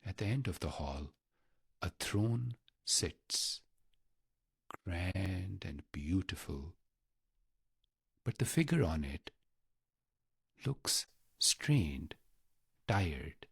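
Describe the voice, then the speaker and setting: A man guiding a meditation in a measured, reverent manner, pausing deliberately between short phrases. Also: sits low, very animated, vocal fry, husky, dry recording, clean studio sound. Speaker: meditation guide. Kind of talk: guided meditation narration.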